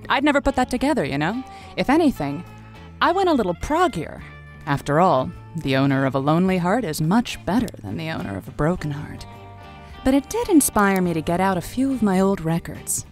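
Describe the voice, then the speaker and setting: A woman playing a playful, caring late-night radio advice host in an audio drama, with an intimate, teasing, encouraging manner. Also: fairly low pitch. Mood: welcoming, playful.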